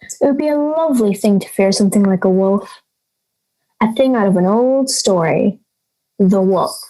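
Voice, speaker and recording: A young woman acting a lonely, fearful girl who voices brooding inner thoughts in halting phrases in an audio drama. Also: mid-range voice, animated, dark tone, natural voice, rough recording. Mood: wistful, uneasy.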